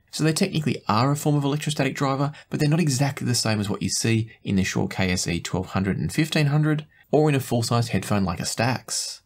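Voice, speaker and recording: A man in his forties presenting a product review to camera in a measured, explanatory way. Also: mid-range voice. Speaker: host, audio gear reviewer. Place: small indoor home studio room.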